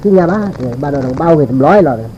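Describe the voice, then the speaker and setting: Unhurried Karen man telling a folktale. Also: fairly high pitch, animated, dark tone. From Li district, Lamphun, northern Thailand. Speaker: folk storyteller. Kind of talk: informal oral folktale telling. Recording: dull lo-fi recording with insect-like background noise.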